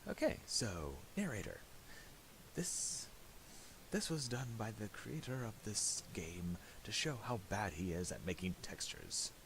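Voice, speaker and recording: A man presenting a casual software tutorial in a halting, mumbled way, on a hissy home recording with clicks. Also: on the low side, very animated, vocal fry, low-quality recording.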